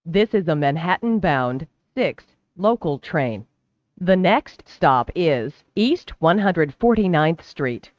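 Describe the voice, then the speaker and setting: A train announcer delivering scripted transit announcements in a formal, measured, clearly enunciated way. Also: medium pitch, very animated, no room sound, muffled recording. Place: recorded train public-address announcement.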